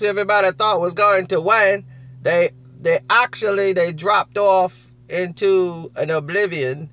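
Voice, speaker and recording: A man with a husky voice hosting a casual live chat, laughing often and answering viewers. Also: high-pitched, sharp tone, no echo.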